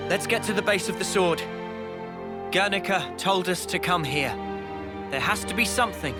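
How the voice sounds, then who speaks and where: A man voicing an earnest, alert young squad leader in a video game, expressive and urgent. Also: sits high, takes it slowly, pauses often. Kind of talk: video game cutscene acting. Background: loud music.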